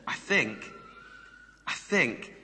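Male preacher, very bright, crisp-edged tone, conversational sermon delivery, long hall reverb. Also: medium pitch, sing-song, very slow, a little hiss or hum. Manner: conversational, rhetorical questions to listeners. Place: large reverberant room before a congregation.

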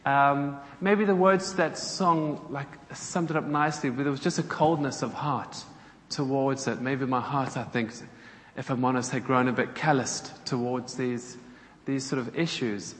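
A man's voice: medium pitch, fairly even intonation, normal speed, a bit bright, a little hiss or hum. South African man speaking in an echoey church. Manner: chatty, engages the audience with questions. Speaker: guest speaker from a charity. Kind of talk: church talk to a congregation. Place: church hall. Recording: echoey hall, faint ambience.

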